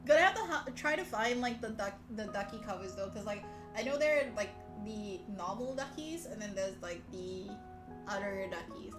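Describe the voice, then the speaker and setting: A woman's voice: mid-range voice, lively intonation, normal speed. A woman hosting a casual live stream chat, talking to viewers in a playful, teasing, giggly manner. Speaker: livestream host. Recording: echoey room, music behind voice. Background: soft background music.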